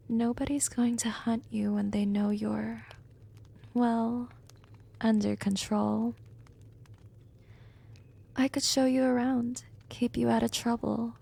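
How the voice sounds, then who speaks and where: A woman acts as a seductive vampire hypnotist in an ASMR roleplay. Her voice is fairly high yet mellow and soft, with frequent whispers. She speaks very unhurriedly, teasing and coaxing with gentle, smug control. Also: heavily acted. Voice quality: soft, breathy, often dropping into whispers. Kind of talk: ASMR roleplay, intimate monologue.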